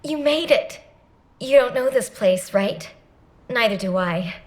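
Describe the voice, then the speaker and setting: A woman's voice: a little high, animated, measured pace, darkish tone. A woman playing a friendly, curious field officer in a video game, conversational yet businesslike while briefing. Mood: easygoing, businesslike. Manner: conversational, easygoing but businesslike. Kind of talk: scripted video game dialogue.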